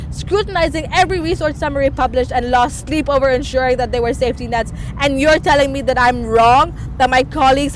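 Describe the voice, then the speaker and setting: A woman's voice: high-pitched, natural voice, poor audio. A woman playing an earnest, defiant scientist who argues insistently with authority in a sci-fi audio drama. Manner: indignant, articulate, insistent.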